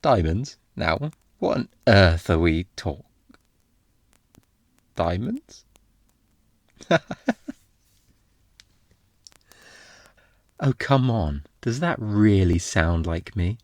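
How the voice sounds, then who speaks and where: A man acting a deep-voiced, smugly flirty thief. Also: expressive, no room sound, clean studio sound, heavily acted. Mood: amused, mock-incredulous. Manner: playful, theatrical, drawn-out teasing with breathy murmurs. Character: obnoxiously flirty, smug male thief, teasing and unrepentant. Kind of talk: intimate ASMR roleplay acting.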